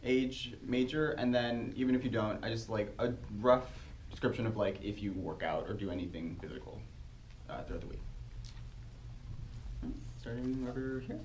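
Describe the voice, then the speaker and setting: A twenty-year-old man casually moderating a student focus group, relaxed and chatty, laughing easily. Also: mid-range voice, moderate pace. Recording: echoey room, mic at a distance, faint hiss.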